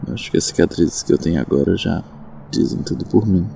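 A man playing a nervous, gentle, traumatized friend in an audio drama, hesitant and stammering. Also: low voice, fast, gravelly, a little hiss or hum. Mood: anxious.

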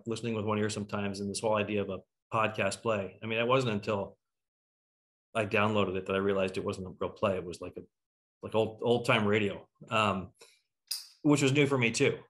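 A man's voice: fairly low pitch, fairly bright tone. A middle-aged man from Canada talking casually and a little ramblingly as a panel host over a video call. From Toronto, Canada. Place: home room, on a video call.

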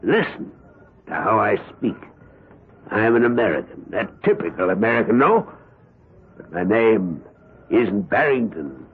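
A man's voice: mid-range voice, breathy edge, exaggerated voice. An older man playing an elderly, cold and boastful Nazi war criminal in hiding, in 1970s radio drama style. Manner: halting and courteous, turning menacing and grandiose. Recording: muffled vintage radio broadcast.

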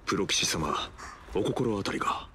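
Deep, courteous, composed butler. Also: male voice, animated, heavy background noise. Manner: formal, polite, measured. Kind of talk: anime game voice acting. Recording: music behind the voice.

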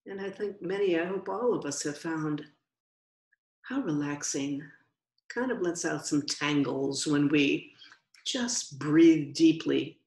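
A woman leads a guided meditation with a low-pitched voice for a woman. She speaks very slowly and gently, leaving long pauses between short phrases. The sound is roomy, with clear echo around her voice. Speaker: meditation teacher.

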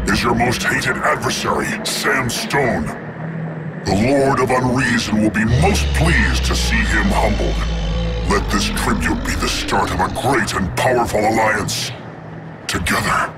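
An actor playing a pompous, treacherous doomsday villain in a video game, proclaiming grandly and theatrically. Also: male voice, mid-range voice, lightly acted. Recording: loud music over the voice.

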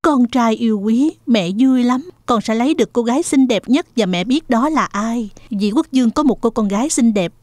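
A woman's voice: a little high, moderate pace, hardly any pauses, a little mellow, dry recording, lightly acted. A woman playing a loving, delighted mother in a children's cartoon dub, affectionate and encouraging. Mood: pleased, affectionate.

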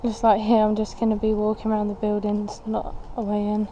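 A woman's voice: medium pitch, monotone, brisk, dark tone, muffled recording, a little hiss or hum. A young woman chatting casually as she walks and explores, exclaiming at what she finds, recorded on a camera she carries. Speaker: urban explorer vlogger. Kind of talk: casual walk-and-talk narration. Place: outdoors and inside a derelict building.

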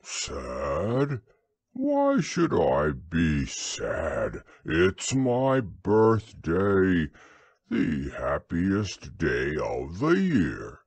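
A mopey old donkey with a low, sighing drawl, as a male reader puts him on. He speaks very slowly with dry sarcasm and a husky edge. The storybook voice is close and dry. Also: very animated, darkish tone, no room sound, exaggerated voice. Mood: gloomy. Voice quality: low, slow, drawn-out sighing voice. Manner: morose, dryly sarcastic.

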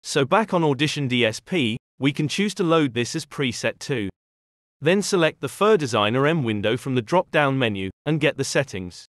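A man's voice: mid-range voice, lively intonation, moderate pace, fairly bright tone, no echo. Man narrating a tutorial, dry recording. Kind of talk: scripted software tutorial narration.

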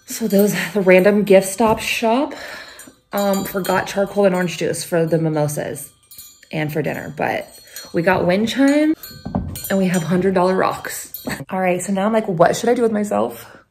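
A woman's voice: mid-range voice, moderate pace, a little mellow, slight background noise. A woman chatting casually to her phone camera in a travel vlog, playful and crude, with frequent jokes and laughter. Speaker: travel vlogger.

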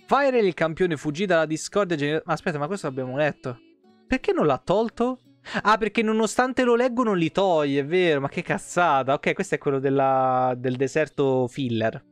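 Man, thirties, somewhat high voice, game streamer, reading lore aloud, irreverent casual commentary, faint background music. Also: lively intonation, normal speed, no echo. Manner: irreverent, foul-mouthed asides.

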